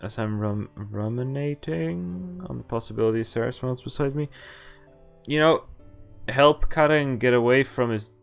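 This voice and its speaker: Guy streamer reading game text out loud, jokey and reactive, trips on words, average pitch, music behind. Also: moderate pace, creaky. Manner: stumbles over words while reading and corrects himself. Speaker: game streamer doing a visual novel playthrough. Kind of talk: reading game text aloud with casual commentary.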